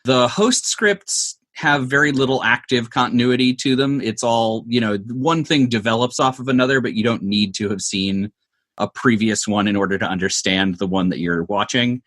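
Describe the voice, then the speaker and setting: A man speaking as an interview guest, explaining creative work in articulate, measured phrases with considered pauses. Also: medium pitch, fairly bright tone. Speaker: interview guest, comedy show writer.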